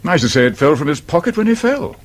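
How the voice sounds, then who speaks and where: An actor playing a sceptical, level-headed friend of a sleuth in a radio drama, husky, with an English accent and a dry, reasoning manner. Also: male voice, fairly high pitch, very animated, fast. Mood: sceptical, mildly exasperated. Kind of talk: British radio drama acting.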